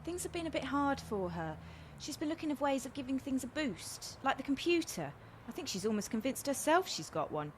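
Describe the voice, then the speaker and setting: Actress as a earnest young woman, radio comedy, swooping high voice, chatty, frank, slight background noise. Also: sing-song, fairly quick. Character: frank, kind-hearted young woman paid as a date. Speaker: actor in a radio play. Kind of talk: naturalistic radio comedy acting.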